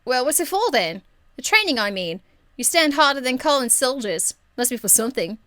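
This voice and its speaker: A woman voicing a cheeky, irreverent young female rogue archer in an amateur game voice demo, blunt, slangy and excitable. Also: high-pitched, fairly quick, no room sound, clean studio sound, exaggerated voice. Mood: playful, blunt.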